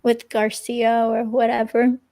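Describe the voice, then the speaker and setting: A woman playing a halting, creaky-voiced young ex-girlfriend. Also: fairly high pitch, completely flat delivery, warm tone. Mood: hesitant, uneasy. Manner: halting, hesitant, filler-laden delivery. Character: hesitant young ex-girlfriend giving a police statement, uneasy. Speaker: reader playing an interviewee. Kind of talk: dramatic reading of police interview transcript.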